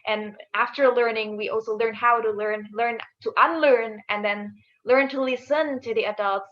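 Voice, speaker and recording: A woman's voice: a little high, steady tone, normal speed. A woman moderating an online panel discussion in an affirming, encouraging way, on muffled call audio. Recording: dull, band-limited call audio.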